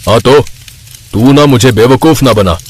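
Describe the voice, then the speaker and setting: A man's voice: medium pitch, a little slow, husky. A man voicing a harsh, suspicious restaurant boss who scolds his worker in a cartoon story. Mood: angry, scolding. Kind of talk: Hindi cartoon story acting.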